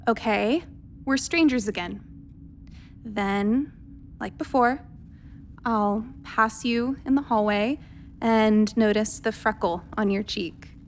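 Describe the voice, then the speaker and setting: A woman's voice: fairly high pitch, takes it slowly, long pauses, slight background noise. A woman acting in a radio play as a wry, flirtatious ship's doctor who is tender and reassuring with her lover. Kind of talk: naturalistic radio drama dialogue.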